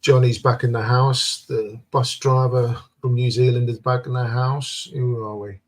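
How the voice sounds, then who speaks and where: A man's voice: medium pitch, fairly even intonation, a little slow. A man hosting a casual live-stream chat, joking around and reading out viewer comments. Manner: jokey, banters and reads comments aloud.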